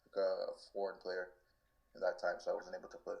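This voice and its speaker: A man's voice: low voice, a little fast, creaky, lo-fi sound. A man in his twenties from Canada, answering interview questions casually and loosely, with lots of filler words and restarts. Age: 20s. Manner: relaxed, many "like" fillers and restarts. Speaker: guest soccer player.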